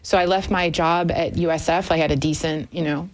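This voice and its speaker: A woman's voice: low-pitched, steady tone, fairly quick, rough recording. A woman in her fifties from the United States, a guest telling her personal story candidly in conversation, often correcting herself. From United States, moved often as a military child, Minnesota family. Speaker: interview guest. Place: radio studio.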